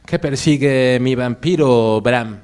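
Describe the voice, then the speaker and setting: An actor playing a haunted, dying Victorian writer in a theatrical drama, speaking in a measured, literary and mournful way. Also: male voice, mid-range voice, darkish tone, clear, resonant tone, lightly acted. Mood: weary, questioning. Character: dying Victorian male writer, melancholy, haunted, wounded poet. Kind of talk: theatrical stage-drama dialogue.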